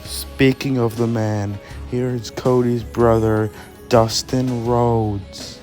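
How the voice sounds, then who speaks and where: A man's voice: medium pitch, flat delivery, slow, darkish tone, soft background music. A man doing pro wrestling commentary and introductions, drawing out his phrases as he announces.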